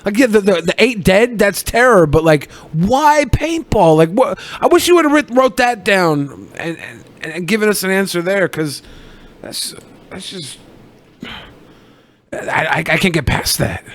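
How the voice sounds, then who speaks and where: Very high-pitched, animated American man. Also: measured pace. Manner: incredulous, profane. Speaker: podcast co-host. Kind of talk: casual comedic news chat.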